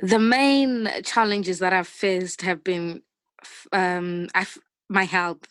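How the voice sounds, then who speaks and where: A woman's voice: on the low side, fairly even intonation, takes it slowly, a bit bright, clear, resonant tone, no echo, clean studio sound. A young woman with a Zimbabwean accent, answering questions as a guest in a conversational interview over a video call. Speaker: interview guest, finance analyst.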